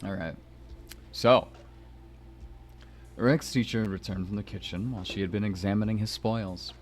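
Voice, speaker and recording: A man's voice: fairly low pitch, steady tone, moderate pace. A man hosting a stream, chatting casually and narrating a visual novel aloud over music. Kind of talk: casual chat and expressive narration of a story. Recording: music behind the voice. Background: background music from the game.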